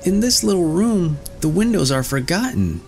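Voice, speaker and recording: A man doing tiny, shrill Tom Thumb. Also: big pitch swings, normal speed, gravelly, faint music behind, exaggerated voice. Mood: dismayed. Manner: high, loud and cheeky. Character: tiny brave mischievous boy, thumb-sized.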